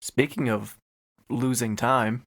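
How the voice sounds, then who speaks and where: A man's voice: medium pitch, steady tone, takes it slowly. A man co-hosting a podcast, chatting casually in a jokey, teasing way. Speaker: podcast co-host. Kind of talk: casual chat, joking.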